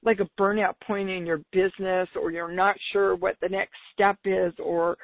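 Slightly raspy woman hosting, lo-fi sound. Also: medium pitch, little pitch movement, moderate pace, no room sound. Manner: enthusiastic, emphatic, informal. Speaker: business podcast host. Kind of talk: upbeat solo podcast talk. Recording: dull lo-fi radio-like sound.

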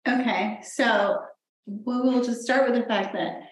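Soft-spoken woman in her forties, echoey. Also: a little high, steady tone, measured pace. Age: 40s. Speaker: podcast co-host. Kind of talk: casual podcast chat. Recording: very echoey room.

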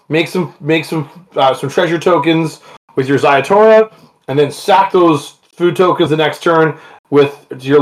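A man's voice: mid-range voice, poor audio. A man hosting a podcast, chatting casually and enthusiastically, laughing often as he talks with his co-host online. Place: home, talking online.

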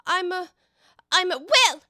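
A woman's voice: high voice, sing-song, a little slow, fairly bright tone, no echo, studio quality, pitched above the performer's own voice, full character voice. A woman voicing an earnest, wondering little girl in a storybook, polite but easily puzzled. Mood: doubtful. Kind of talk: dramatised storybook reading.

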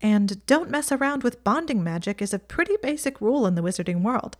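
A woman's voice: on the high side, lively intonation, fairly quick, voice pushed up, natural voice. A woman voicing a chatty, teasing young witch girl who speaks in a lofty, blunt way.

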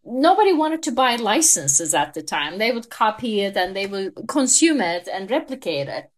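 A woman's voice: medium pitch, sing-song, normal speed. A woman in her fifties from Turkey with a Turkish accent, a guest in an interview telling her career story reflectively, with frequent small laughs.

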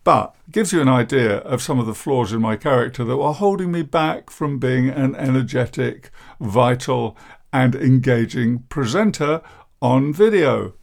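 Older male podcast host, deep gravelly croak, measured and downbeat, self-deprecating. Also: expressive. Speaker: podcast host, therapist and coach. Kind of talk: reflective podcast monologue.

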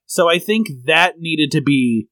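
A man's voice: on the high side, expressive, unhurried, fairly bright tone. A man co-hosting a comedy podcast in casual chat, explaining things at length and telling stories. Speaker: podcast co-host.

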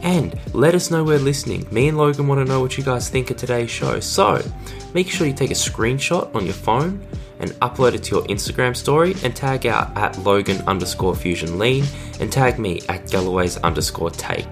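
A hoarse man with a cold, a podcast host giving an upbeat introduction and speaking directly to listeners. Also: medium pitch, lively intonation, moderate pace. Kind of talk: scripted show intro. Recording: music bed under voice.

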